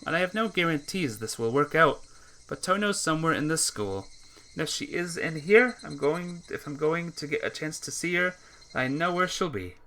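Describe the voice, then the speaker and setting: A man reading a visual novel aloud as a let's play narrator, with expressive, earnest storytelling delivery. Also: on the high side, a little hiss or hum, poor audio.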